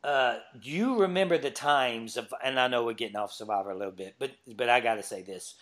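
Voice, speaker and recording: A man's voice: mid-range voice, fairly quick, fairly bright tone. An easygoing man hosts a casual podcast chat in a mid-range voice. He laughs easily, yet he pushes back firmly when challenged. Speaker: podcast host.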